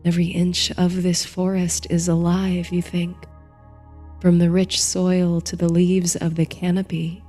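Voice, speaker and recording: A woman narrating a guided bedtime meditation in a soothing, gentle, hushed manner, reading from a script. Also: on the low side, monotone, measured pace. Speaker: host and narrator of a sleep story series. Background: soft music.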